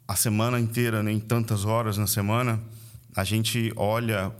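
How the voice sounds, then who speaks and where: A man's voice: lowish voice, little pitch movement, moderate pace. A man in his late thirties from Vitória, Brazil, with an Espírito Santo accent, a podcast guest who tells his story in an earnest, reflective way. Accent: Brazilian Portuguese, Espírito Santo (capixaba). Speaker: guest, missionary and church leader. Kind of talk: conversational podcast interview.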